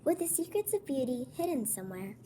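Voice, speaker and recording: Gushing lady customer, played by a schoolgirl, high-pitched, exclaiming, radio play, faint background noise. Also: sing-song, brisk, dark tone. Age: child of about ten. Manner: effusive, exclaiming.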